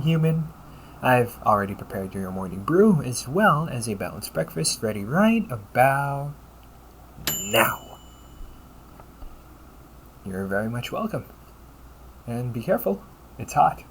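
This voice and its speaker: Man acting as a lively AI caregiver companion, audible background noise. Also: mid-range voice, slow, pauses often. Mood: cheerful. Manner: polite, chirpy, gently nagging, butler-like phrasing. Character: upbeat, teasing male AI caregiver companion, fussy and helpful. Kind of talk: scripted audio roleplay addressed to the listener.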